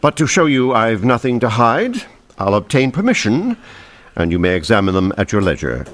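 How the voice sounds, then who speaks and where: An actor playing a haughty, crooked banker in a period radio mystery, speaking formally. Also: male voice, mid-range voice, wildly swooping pitch, moderate pace, a bit bright. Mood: coolly cooperative. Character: smooth, indignant, crooked male banker villain. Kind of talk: old-time radio drama acting.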